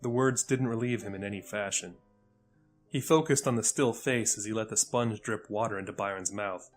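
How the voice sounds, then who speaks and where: A man's voice: on the low side, moderate pace. A man narrating a scripted audio fiction story. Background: faint music.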